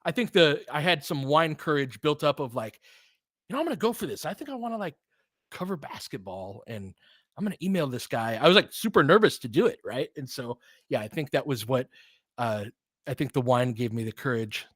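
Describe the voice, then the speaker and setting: A podcast host chatting casually with viewers, telling a rambling story full of fillers and restarts. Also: male voice, mid-range voice, sing-song, fairly quick, no room sound, clean studio sound. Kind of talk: casual livestream chat answering viewers.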